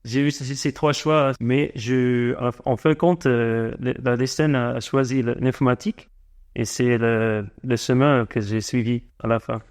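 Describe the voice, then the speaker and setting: A man in his forties who grew up in South Africa and speaks French as a second language, answering interview questions slowly with many fillers and restarts. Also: medium pitch, fairly even intonation. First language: not French. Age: 40s. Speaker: guest entrepreneur.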